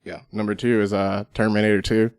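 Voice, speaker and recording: A man's voice: fairly low pitch, level tone, brisk, crowd noise. A man speaking as a podcast guest in casual, conversational chat.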